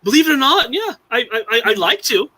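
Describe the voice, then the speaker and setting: Bright, high-voiced young man rambling. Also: very animated, quick pace. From Southern California. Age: young adult. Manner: rambling, many restarts and fillers. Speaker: live-stream guest. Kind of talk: casual chat.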